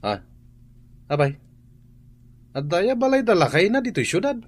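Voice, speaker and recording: A fairly high male voice with a Filipino accent, playing a curious neighbourhood chatterer. The intonation is animated, as suits radio drama. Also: moderate pace, slightly rough, heavily acted.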